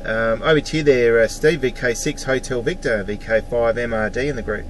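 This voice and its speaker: A man's voice: mid-range voice, a little fast. Australian man on a faintly noisy mobile radio. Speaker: amateur radio operator. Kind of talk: casual radio greetings with callsigns. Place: vehicle, out on the road.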